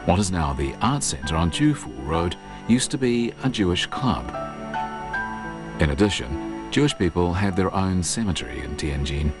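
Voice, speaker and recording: A very deep male voice reads documentary narration in a steady, measured way. Music plays loudly beneath him. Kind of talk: scripted narration. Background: loud music.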